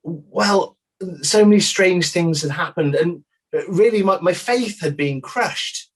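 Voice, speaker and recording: A man's voice: high-pitched, slow pace. A man playing a doubting, plain-spoken disciple giving emphatic, slightly stumbling testimony in a dramatized inquiry. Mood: doubting, dejected.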